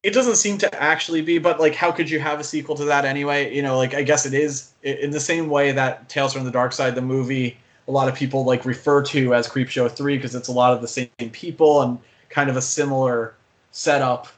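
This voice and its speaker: A man's voice: mid-range voice, steady tone, a little fast. A man co-hosting a podcast, talking casually about movies in an enthusiastic, rambling way. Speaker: podcast co-host and film fan.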